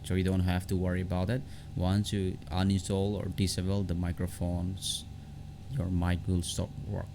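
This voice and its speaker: Deep-voiced, creaky man giving a tutorial. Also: little pitch movement, background noise. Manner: halting, repetitive, often restarting phrases. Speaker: tutorial host. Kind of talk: unscripted software tutorial.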